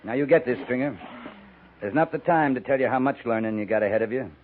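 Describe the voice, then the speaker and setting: Gruff frontier cavalry officer, acted by a man: clipped authority, dry delivery, narrow noisy vintage radio sound. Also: medium pitch, quick pace, natural voice. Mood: stern. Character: gruff, commanding cavalry captain of the old West. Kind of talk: 1950s radio western acting. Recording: muffled, noisy 1950s radio broadcast.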